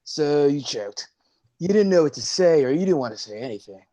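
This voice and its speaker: A man's voice: on the high side, expressive, a little fast, dark tone, natural voice. A man playing a laid-back, teasing young surfer-dude sea god in a staged play reading, talking in a slangy drawl.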